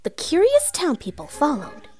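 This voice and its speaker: A woman playing an excitable storyteller, narrating a storybook read-along with theatrical drama. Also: high voice, moderate pace. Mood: joyful, excited. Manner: theatrical, hushed then exclaiming.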